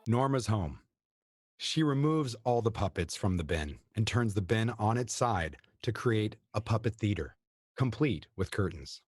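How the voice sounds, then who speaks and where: Man, stage directions narrator, scripted reading, lowish, animated, dry. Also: measured pace, breathy edge, no room sound.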